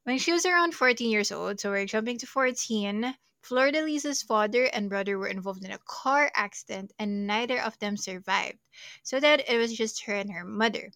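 Young woman, true crime podcast host, chatty and jokey, slightly high voice, dry close sound. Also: normal speed, a bit bright, dry recording. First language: not Portuguese. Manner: chatty, jokey, self-deprecating asides.

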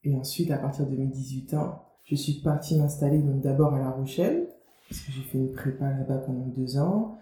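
A woman's voice: a bass voice, moderate pace, dark tone. A young woman from Guadeloupe, an author being interviewed, talking casually with many fillers and restarts. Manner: chatty, lots of fillers and restarts. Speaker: author and media founder, guest. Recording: roomy, faint background noise.

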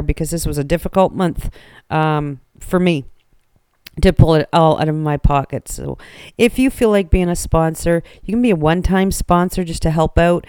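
Chatty woman radio host, crisp voice. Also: lowish voice, no room sound, clean studio sound. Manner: chatty, animated, laughs often. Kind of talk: casual radio chat.

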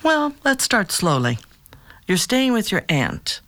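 An actor playing a calm, patient therapist in an audio drama, asking direct questions in a measured, gentle way. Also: medium pitch, no echo.

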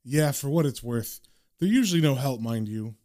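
A man's voice: medium pitch, lively intonation, normal speed, mellow, no room sound, pitched below the performer's own voice, close to an everyday voice. A man voicing a cynical British occult detective in a dry, muttered, slangy way, as in a comic book story. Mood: sardonic.

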